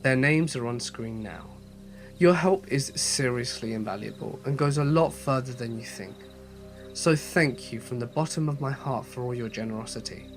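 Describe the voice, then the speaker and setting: A man narrating horror stories as a channel host, reading in a measured, steady way with a voice slightly off from a cold. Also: medium pitch, faint music behind. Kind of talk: scripted horror story narration.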